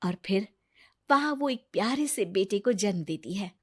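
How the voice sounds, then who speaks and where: A woman's voice: medium pitch, animated, moderate pace, darkish tone, slightly rough. A woman reading a scripted moral story as narrator.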